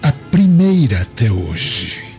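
An actor playing a proud, lustful Roman governor in a radio drama, grandiose and frustrated. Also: male voice, medium pitch, big pitch swings, slow. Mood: shocked, frustrated. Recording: dull lo-fi audio under loud music.

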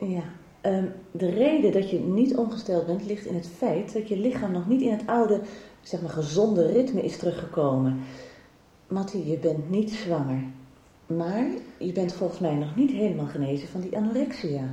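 A woman acting a calm, frank doctor in a radio soap. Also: fairly low pitch, normal speed, close to an everyday voice. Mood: frank, firm. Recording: echoey room, faint noise.

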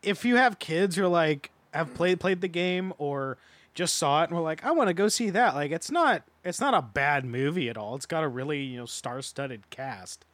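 A man's voice: fairly high pitch, animated, moderate pace, fairly bright tone, no echo. A man giving his opinions as a podcast panelist in a casual, conversational review.

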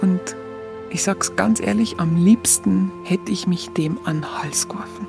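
Munich woman narrator for radio play: Bavarian accent, colloquial, confiding recollection, clear music bed. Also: on the low side, unhurried. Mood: candid, confiding. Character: Munich woman candidly recalling her hungry youth in 1945.

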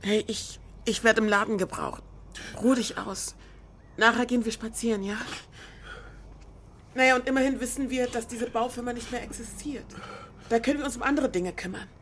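A woman's voice: a little high, moderate pace, long pauses, fairly bright tone, a little hiss or hum, close to an everyday voice. Actress as frank, caring young woman. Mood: caring, brisk. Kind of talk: radio drama acting.

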